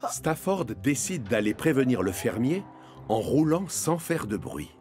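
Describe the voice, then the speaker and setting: Animated male children's cartoon narrator. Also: medium pitch, measured pace, faint music behind.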